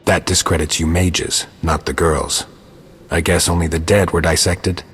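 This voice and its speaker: A man playing a stoic monster hunter in a video game, terse and deadpan, with a gravelly, husky voice. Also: low-pitched, measured pace, exaggerated voice. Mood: dry, accusing. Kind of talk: scripted video game dialogue. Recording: long cavernous reverb.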